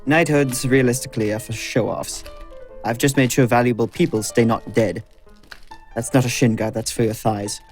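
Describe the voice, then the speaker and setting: An actor playing a seasoned sword instructor in an audio drama, dry, blunt and patient, with a measured teaching manner. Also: male voice, medium pitch, fairly even intonation, faint music behind, lightly acted. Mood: dry, instructive.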